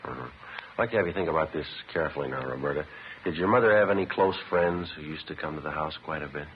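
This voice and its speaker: An actor playing a calm, businesslike police detective in a 1950s radio drama, deadpan and matter-of-fact. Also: male voice, a bass voice, fast talker, a little hiss or hum. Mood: gently questioning. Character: calm, businesslike male police detective, narrating his case. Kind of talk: 1950s radio drama acting, clipped procedural delivery. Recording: muffled 1950s radio recording with music.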